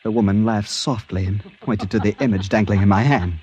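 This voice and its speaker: An actor playing a flustered, polite tourist, acting and narrating in a halting, dramatic old-time radio style. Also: male voice, fairly low pitch, steady tone, a little mellow, husky, muffled recording. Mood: tense narration. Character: flustered, polite male cruise tourist telling his misadventure.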